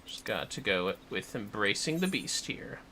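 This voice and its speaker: A man's voice: on the low side, sing-song, moderate pace, sharp tone, a little hiss or hum. A man hosting a gaming livestream with casual commentary, rambling a little as he plans aloud. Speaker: livestream host.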